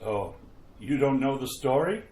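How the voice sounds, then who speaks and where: A man's voice: mid-range voice, expressive, measured pace, a little mellow, lightly acted. A man playing an uneasy, folksy graveyard caretaker who stammers in a retro radio mystery. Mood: grim storytelling. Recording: roomy echo, noticeable background noise.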